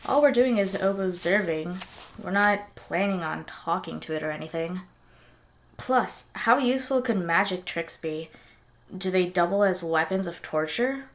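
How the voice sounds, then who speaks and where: A woman playing a sarcastic, excitable young tinkerer in an audio drama, snappy and skeptical. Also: fairly low pitch, moderate pace, lightly acted.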